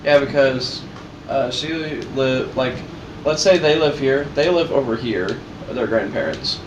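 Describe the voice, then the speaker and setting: A man's voice: medium pitch, a little slow. A man chatting casually as a reaction host, explaining and pointing things out as he goes. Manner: explanatory, pointing out on-screen details. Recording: echoey room with loud background noise.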